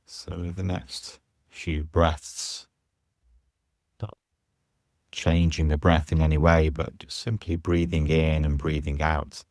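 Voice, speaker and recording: Male yoga teacher for guided relaxation: very low pitch, soothing, measured delivery with pauses. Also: little pitch movement, darkish tone, no echo, clean studio sound. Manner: soothing, unhurried, pausing between short phrases. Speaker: yoga nidra teacher guiding a meditation. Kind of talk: guided meditation instruction.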